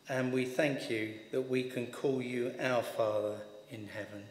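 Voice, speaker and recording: A man in his sixties leading intercessory prayers from a lectern, earnest and measured as he reads. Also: mid-range voice. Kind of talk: read-aloud intercessory prayer. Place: large church interior, at a wooden lectern. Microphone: lectern microphone on a stand. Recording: long church reverb.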